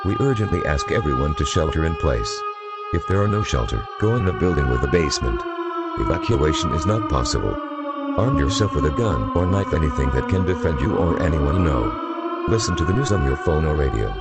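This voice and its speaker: Very deep-voiced man reading emergency alerts. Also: very animated, fairly quick, loud music behind the voice. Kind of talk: scripted emergency broadcast announcement.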